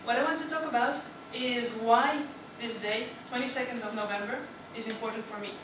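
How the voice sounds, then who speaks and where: A woman's voice: a little high, fairly even intonation, fairly quick. A young Dutch woman giving a prepared speech to a club, chatty and putting questions to the audience. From Netherlands. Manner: chatty, asks the audience questions, often adds "right?". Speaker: club speaker. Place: meeting room. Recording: echoey room from far off with noise.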